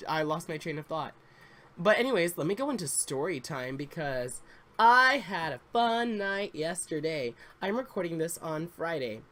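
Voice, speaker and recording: A man in his twenties chatting casually, a bit congested and slightly raspy, rambling with fillers and restarts. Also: fairly high pitch, fairly bright tone. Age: 20s. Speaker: Sims YouTuber. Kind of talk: casual story time chat.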